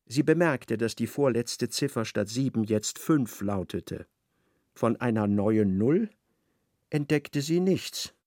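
A man narrating a literary radio play in a measured, precise storytelling manner. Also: medium pitch, animated, a little mellow, no room sound, clean studio sound.

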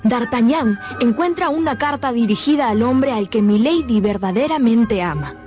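A woman narrates a radio drama in a fairly high voice. Her delivery is scripted and dramatic, with clear music running behind it. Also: expressive, normal speed, darkish tone.

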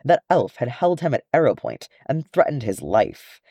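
A man reading a fantasy story aloud as expressive scripted narration. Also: medium pitch, normal speed, gravelly, slightly rough, no room sound. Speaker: audiobook reader of fan fiction.

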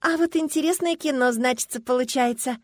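Woman acting an excitable, high-pitched young heroine. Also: no echo. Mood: indignant. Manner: breathy exclamations, quick flustered chatter. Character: impulsive, excitable young heroine, easily flustered and indignant.

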